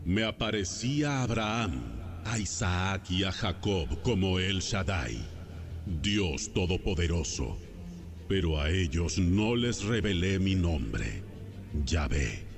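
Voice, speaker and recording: Man acting a deep, solemn almighty God. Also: lively intonation, slow pace, soft background music, full character voice. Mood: solemn, commanding. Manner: solemn, declarative. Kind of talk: dramatized audio Bible acting.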